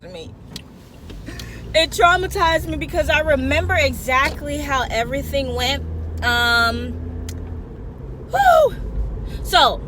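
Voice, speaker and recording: A young woman vlogging a casual, chatty storytime from a car, animated and rambling, often interrupting herself. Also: high voice, takes it slowly, long pauses. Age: young adult. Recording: noisy car interior with traffic hum.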